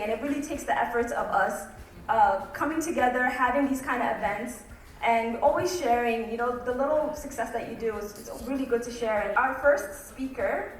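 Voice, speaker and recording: A woman hosting a community event, giving an earnest introduction with casual fillers. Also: a little high. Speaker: event host. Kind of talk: introductory speech. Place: event hall. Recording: echoey hall from far off, faint music.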